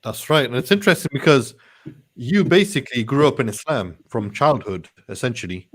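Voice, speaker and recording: A man's voice: mid-range voice, animated, fairly quick, a bit bright, slightly rough. A man hosting a casual interview show, speaking in an informal, matey way with Arabic phrases sprinkled in. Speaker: talk show host.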